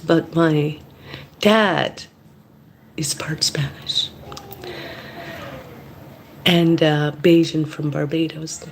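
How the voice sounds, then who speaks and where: A woman's voice: deep voice, little pitch movement, drawn-out words, pauses often, a little hiss or hum. A woman from Canada, a mukbang vlogger, telling a blunt, rambling story while she eats.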